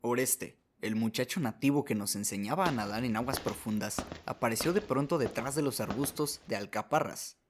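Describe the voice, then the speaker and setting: A man's voice: mid-range voice, normal speed, few pauses, noisy background. A man narrating a short story as a scripted radio drama, reading as the narrator.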